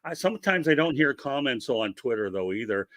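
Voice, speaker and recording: A man's voice: medium pitch, sing-song, a little fast, fairly bright tone, dry recording. A man hosting a casual interview, talking at length in a chatty, rambling, promotional way over a video call. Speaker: interview host.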